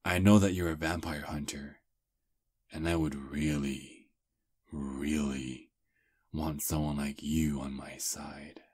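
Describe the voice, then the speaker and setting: Ancient vampire prince, played by a man: courtly seducer, breathy husky voice, slow intimate ASMR delivery. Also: extremely low pitch, level tone, darkish tone, heavily acted. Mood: quietly menacing. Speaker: ASMR roleplay performer. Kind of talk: intimate ASMR roleplay acting.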